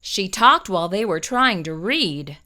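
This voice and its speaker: A woman reading a children's picture book aloud, slow and expressive. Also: mid-range voice. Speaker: children's storyteller.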